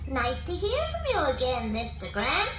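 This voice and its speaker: An actress playing a chatty, petulant ship's computer with a silly voice and a breezy, officious manner in a radio science fiction comedy. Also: female voice, sits high, wildly swooping pitch, moderate pace, fairly bright tone, roomy sound, recorded from a distance, slight background noise, heavily acted. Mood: coy. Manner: breezy, officious, matter-of-fact.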